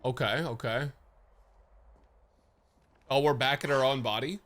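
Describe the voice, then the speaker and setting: A man's voice: mid-range voice, fairly even intonation, a little slow, a bit bright. A man streaming live reactions to a game, casual, slangy and jokey. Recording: game music behind the voice.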